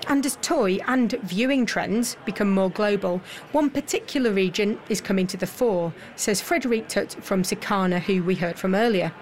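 A woman presenting a radio business news programme and interviewing guests in a measured, clear way. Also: medium pitch.

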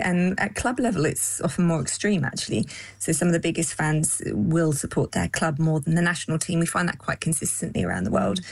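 Lowish-voiced woman, dry sound. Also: a little mellow, dry recording. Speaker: expert guest, psychologist. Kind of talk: explanatory interview.

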